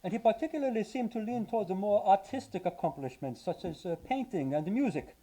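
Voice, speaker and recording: Man acting mellow, professorial radio-drama psychiatrist. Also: sits high, animated, fairly quick. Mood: earnest, explanatory. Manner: hesitant, professorial, wordy. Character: male asylum psychiatrist, learned, earnest, long-winded. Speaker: actor in live radio play.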